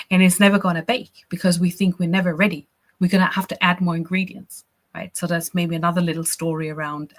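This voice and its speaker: A woman from Austria, a business coach teaching a live workshop in a chatty, encouraging way, often checking in with "right?" and "you know". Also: lowish voice.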